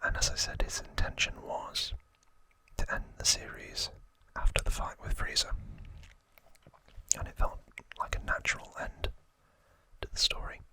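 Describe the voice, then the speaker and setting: A man in his thirties whispering in a breathy voice, telling a story as an ASMR host in short phrases with pauses. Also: bright, forceful tone, poor audio. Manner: slow, deliberate, short phrases with long pauses.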